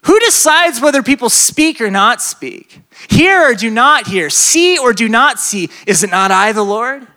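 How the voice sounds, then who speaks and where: A young adult man, a pastor with a slight speech impediment, preaching a lively sermon to students, swinging from loud bursts to hushed stretches. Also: extremely high pitch, measured pace, hardly any pauses. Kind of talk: sermon to a college crowd.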